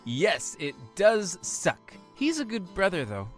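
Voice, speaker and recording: Teen boy in audio drama, excitable n stubborn, very animated voice. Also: on the low side, takes it slowly, soft background music, exaggerated voice. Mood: sulky, relaxing. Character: fifteen-year-old boy, eager, defiant manga fan.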